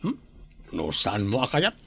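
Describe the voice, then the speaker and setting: An actor with a Filipino accent playing a stubborn, carefree older bachelor uncle in a radio drama, drawling and dismissive. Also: male voice, lowish voice, wildly swooping pitch, a little slow, sharp tone, husky, dry recording, heavily acted.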